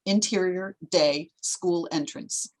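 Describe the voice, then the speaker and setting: Low-voiced woman narrating a screenplay. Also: takes it slowly, poor audio. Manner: plain, descriptive reading. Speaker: stage-direction narrator.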